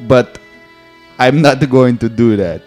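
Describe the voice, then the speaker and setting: A man playing a blustering male gang boss in a comedy bit, loud and swearing. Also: mid-range voice, takes it slowly, soft background music, lightly acted. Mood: playful.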